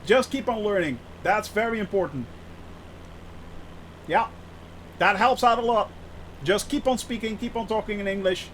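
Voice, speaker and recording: A man's voice: high-pitched, normal speed, long pauses, a bit bright, a little hiss or hum, low-quality recording. A man with a thick Dutch accent chatting casually on a live stream, hesitant with many restarts and frequent laughter. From Netherlands. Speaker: flight sim streamer.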